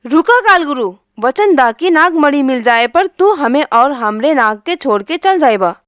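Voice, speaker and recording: Woman voicing a fiery, high-pitched young serpent woman. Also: lively intonation, a little slow, fairly bright tone, dry recording, studio quality. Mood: urgent pleading. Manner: indignant, commanding threats and pleas. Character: furious young female shape-shifting serpent, defiant and protective.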